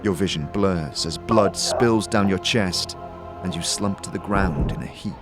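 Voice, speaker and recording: A man with a lowish voice narrates a fantasy audio drama. His delivery is scripted, measured and literary, in the manner of dramatic storytelling. Loud music runs behind him.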